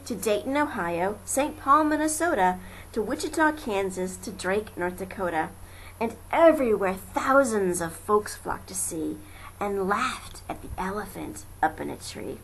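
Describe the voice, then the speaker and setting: A woman reading children's verse aloud as an amateur storyteller, dramatic and deliberate in her delivery. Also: mid-range voice, lively intonation, poor audio. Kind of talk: expressive children's verse narration. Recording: faint noise, amateur home recording. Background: faint ambient noise.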